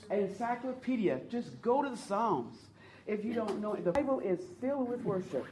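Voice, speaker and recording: A woman with a low voice leading a church teaching on worship, talking earnestly and encouraging her listeners to join in. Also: lively intonation, moderate pace, background noise. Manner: earnest, encouraging, speaks to the audience and invites replies. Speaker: worship leader teaching a group. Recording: roomy sound from a distant mic, faint ambience.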